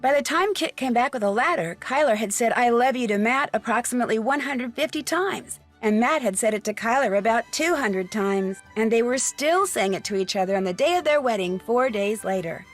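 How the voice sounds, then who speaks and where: A woman playing a bright, inquisitive young American detective in scripted game dialogue and narration, composed and articulate. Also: on the high side, expressive, brisk, hardly any pauses, soft background music, lightly acted. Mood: upbeat, composed.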